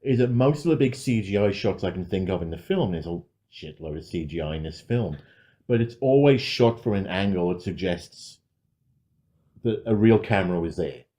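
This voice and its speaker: A man co-hosting a podcast, giving his film review in a casual, measured and considered way. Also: deep voice, animated, dark tone.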